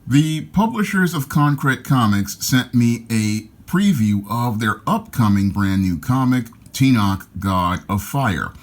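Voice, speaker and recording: A man's voice: medium pitch, expressive, slow pace, hardly any pauses. Comic review guy, hyped, chatty, keeps saying again, normal middle voice. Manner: enthusiastic, repeats "again" and "your". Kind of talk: casual video review.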